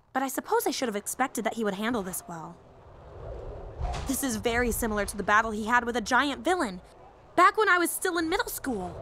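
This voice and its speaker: A woman's voice: high-pitched, sharp tone, slight background noise. A woman voicing a young, nerdy female hero fan and teacher in an audio roleplay, eager, rambling and easily flustered. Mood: fascinated, analytical.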